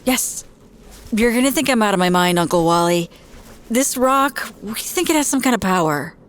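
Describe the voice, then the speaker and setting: A woman's voice: mid-range voice, animated, moderate pace, a little hiss or hum, natural voice. A woman playing an anxious, secretive young woman in an audio drama, hesitant and earnest. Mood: guarded, earnest.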